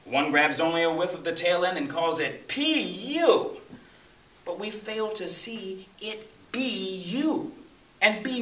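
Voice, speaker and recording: Animated American poet, bright voice in echoey room. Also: male voice, high voice. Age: middle-aged. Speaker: poet reading his own work. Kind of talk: spoken-word poetry recital. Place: public library room at a poetry reading. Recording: distant mic, echoey room, faint hiss.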